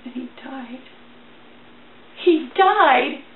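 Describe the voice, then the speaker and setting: Woman doing a dramatic emotional story reading, high voice, thin phone-like sound. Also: extremely slow. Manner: theatrical, emotional delivery. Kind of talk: dramatic reading of a religious allegory. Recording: tinny, band-limited, echoey, faint noise.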